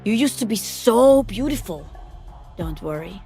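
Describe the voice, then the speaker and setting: A woman's voice: mid-range voice, animated, a little slow, mellow, faint music behind. An actress voicing a cheerful young woman explosives expert, with a playful, bubbly manner. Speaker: game character.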